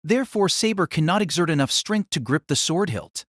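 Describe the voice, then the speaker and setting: A man narrating a fantasy audio drama in a steady, even storytelling manner. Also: a little high, brisk, no echo, clean studio sound.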